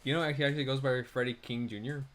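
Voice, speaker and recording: A man speaks as co-host of a casual film review chat. His pitch is average for a man. He phrases things loosely and haltingly, with a joking manner. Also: fairly even intonation, heavy background noise.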